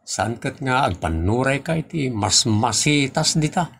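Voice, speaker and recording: A man's voice: mid-range voice, expressive, unhurried, creaky, fairly bright tone, a little hiss or hum. A man playing an outspoken, teasing friend, blunt and bantering, in a comedy audio drama.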